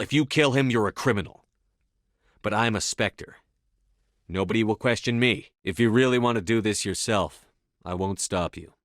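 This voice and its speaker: Guy doing a commanding sci-fi soldier from a video game, deep-ish voice, firm and resolute, super dry studio sound. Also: a bit bright, dry recording, close to an everyday voice. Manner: firm, authoritative, measured delivery. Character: commanding male space soldier, resolute and stern. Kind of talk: scripted game dialogue acting.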